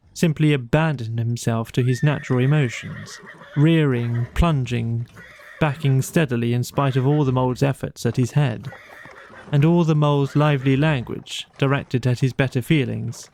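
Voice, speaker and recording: A man narrating a bedtime storybook in a measured, expressive storytelling manner. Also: medium pitch, a little hiss or hum. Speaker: audiobook narrator.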